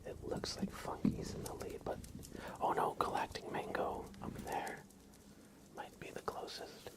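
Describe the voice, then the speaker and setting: A man hosting an ASMR livestream, speaking softly with playful asides as he chats. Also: measured pace. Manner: soft-spoken, playful asides to a pet cat. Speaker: livestream host. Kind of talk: whispered ASMR livestream chat.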